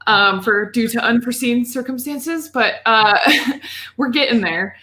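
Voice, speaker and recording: A woman's voice: fairly high pitch, measured pace, sharp tone. A woman co-hosting a podcast, chatting casually about video games in an informal, rambling way. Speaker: podcast co-host.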